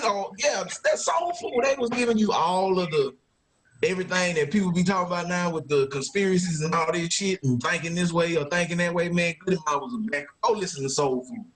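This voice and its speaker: Male podcast host, high voice with big melodic swings, loose slangy chat, swears freely. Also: expressive, a little fast, a bit bright, low-quality recording. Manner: slangy, profane banter.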